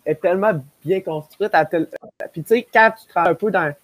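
A young man with a Quebec French accent speaks in a fairly high register. He talks effusively and casually, doubling back on words for emphasis. The sound is dry, from a video call. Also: fast, fairly bright tone, dry recording. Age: young adult. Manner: effusive, repeats himself for emphasis. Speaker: podcast panelist. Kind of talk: casual group discussion. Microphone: wired earbuds on a video call.

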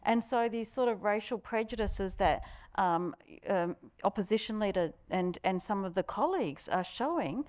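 An older woman with an Australian accent speaks on a stage panel. She is earnest and halting, pausing often with fillers as she searches for words. Her voice sits at a middle pitch. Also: expressive, a little mellow. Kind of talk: panel discussion.

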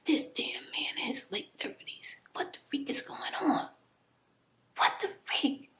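Woman, whispered storytelling, bright tense tone, exasperated, slangy, swears, repeats words. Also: high voice, lively intonation, unhurried. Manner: swears often, casual slangy speech.

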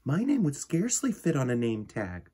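A man's voice: mid-range voice, huge pitch swings, moderate pace, dark tone, breathy edge, voice pushed up. A man voicing a kindly, theatrical lady music teacher mouse in a storybook, lilting and genteel. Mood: gracious, coy. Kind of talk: children's storybook character reading.

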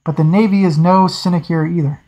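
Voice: mid-range voice, fairly even intonation, fairly quick, dark tone, close to an everyday voice. A reader voicing a stern naval captain. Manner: formal, clipped, angry when challenged.

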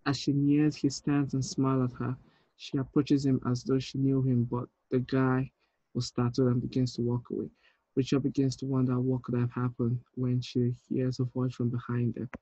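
A man's voice: medium pitch, warm tone, dry recording, highs cut off. A man in his thirties reading script directions as a film director over a video call. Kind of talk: flat scripted narration. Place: room at home, indoors.

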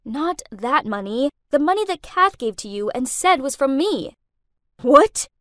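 A woman voicing a young man, a hurt boyfriend who talks hesitantly, then gruffly. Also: high voice, big pitch swings, unhurried, a bit bright, dry recording, studio quality, exaggerated voice. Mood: gruff accusing. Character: man, young adult boyfriend, wounded and curt.

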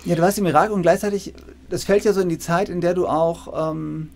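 A man's voice: high voice, fairly even intonation, a little fast, creaky, darkish tone, a little hiss or hum. A middle-aged man hosting an informal interview on a video call, circling into his questions and rephrasing as he goes. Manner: discursive, self-correcting. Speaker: interviewer, university lecturer. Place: indoor room with bookshelves.

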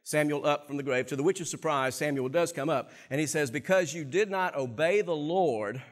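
Man, middle-aged, pastor, fairly high voice, animated sermon, church stage, lapel mic. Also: normal speed. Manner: animated preaching, swinging between low and loud.

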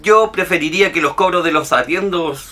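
An actor playing a meek middle-aged husband and amateur inventor in a radio drama, hesitant and apologetic. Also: male voice, on the high side, a little fast, bright, forceful tone. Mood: meek, wounded.

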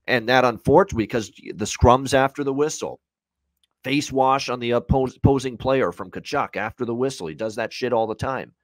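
Guy in his 30s breaking down hockey, lowish voice, emphatic, dry sound. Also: no echo. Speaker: sports betting co-host and analyst. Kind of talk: opinionated hockey analysis. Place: room at home, indoors. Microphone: video call.

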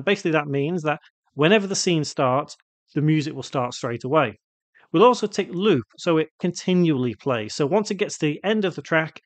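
Higher-pitched man giving a casual software tutorial. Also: dry recording. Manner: casual, thinking aloud while demonstrating. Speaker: tutorial presenter. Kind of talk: unscripted software tutorial narration.

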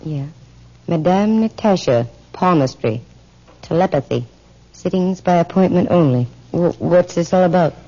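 A wisecracking American female private eye in an old radio mystery. Her voice is mellow and dry-witted, on a dull vintage recording. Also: lowish voice, expressive, measured pace. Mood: dry, confiding. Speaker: lead and narrator of a radio drama. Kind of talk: 1950s radio acting.

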